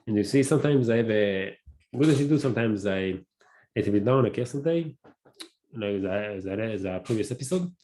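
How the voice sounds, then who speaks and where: A man with a Hebrew accent, a native Hebrew speaker, hosting a casual solo podcast and talking haltingly, often searching for words and restarting. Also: lowish voice, expressive, warm tone, slight background noise. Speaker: podcast host.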